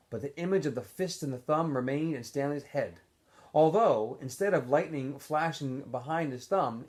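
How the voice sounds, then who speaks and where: A man reading a story aloud as a teacher would, in an expressive, animated narrating style. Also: mid-range voice. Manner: animated, acts out dialogue in shifting voices.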